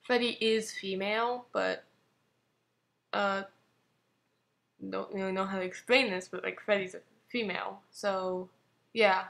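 A woman's voice: mid-range voice, steady tone, unhurried, vocal fry, pauses often, fairly bright tone, soft background music. A woman narrating an amateur comic dub informally, stumbling and criticising herself. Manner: self-critical, stumbling over lines. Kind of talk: reading comments aloud, informal comic dub.

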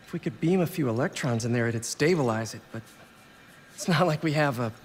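A man's voice: medium pitch, expressive, fairly quick, slight background noise, close to an everyday voice. An actor playing an earnest, cocky young male superhero who jokes under pressure in a video game. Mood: playful. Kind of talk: video game cutscene acting. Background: loud music.

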